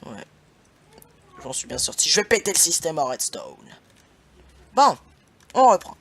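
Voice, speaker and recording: A man's voice: a little high, very animated, unhurried, a bit bright. A young man hosting a casual gaming session, laughing often and swearing playfully at his partner. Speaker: gaming channel host.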